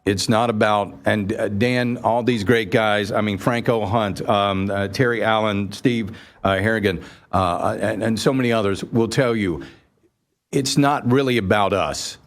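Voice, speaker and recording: A man's voice: on the low side, a little slow. American man hosting live radio, effusive talk. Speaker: radio show host.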